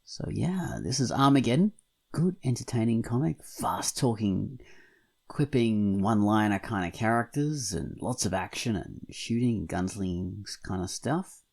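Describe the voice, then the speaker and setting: A man with a relaxed, creaky voice hosts a casual podcast. He rambles in a jokey way, and his pitch moves around a lot as he talks and reviews. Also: moderate pace. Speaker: podcast co-host. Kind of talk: casual podcast chat and featured comic review.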